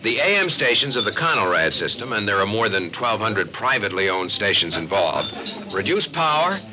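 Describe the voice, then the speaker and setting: A man's voice: on the low side, big pitch swings, moderate pace. An American man playing an earnest, assured civil defense expert who explains and narrates in a 1950s radio documentary. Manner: explanatory, folksy, emphatic. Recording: dull old radio recording with music.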